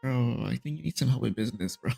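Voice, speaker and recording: Gravelly, raspy man chatting on stream. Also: medium pitch, animated, fast, mellow. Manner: teasing, slangy, says bro often. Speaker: livestreamer. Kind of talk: casual livestream chat. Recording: music playing behind the voice. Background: video game music.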